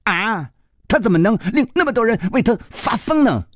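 A man's voice: high-pitched, very animated, moderate pace, dry recording, clean studio sound, pitched above the performer's own voice. A man voicing a cheeky, mocking half-grown street boy in a storybook. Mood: giggling puzzlement.

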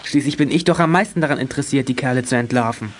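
A man's voice: mid-range voice, rapid-fire, a little hiss or hum, lightly acted. A male actor playing an indignant, exasperated teenage boy in a children's radio play. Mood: startled, indignant. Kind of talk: 1980s German children's radio play acting.

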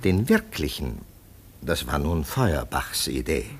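A man acting a scornful, self-assured police lieutenant in a German radio play, with a husky, emphatic, sardonic delivery. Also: rumbling low, very animated, takes it slowly, slight background noise, heavily acted. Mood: confiding.

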